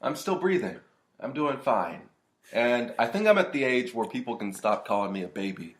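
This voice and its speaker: A man's voice: mid-range voice, moderate pace. A young man in his twenties chatting on a podcast. He talks in a loose, joking way, often laughing. He breaks into silly put-on voices to tease his friend. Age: 20s. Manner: animated, laughs often, playful mock voices. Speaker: podcast co-host. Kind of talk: casual chat.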